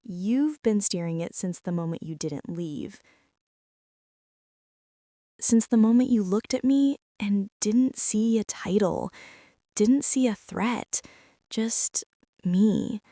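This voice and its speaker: A woman performing a soft ASMR roleplay as an insecure woman CEO confessing her feelings to an employee, talking in a hushed, halting way that slips into whispers. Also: medium pitch, no room sound, clean studio sound. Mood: confessional.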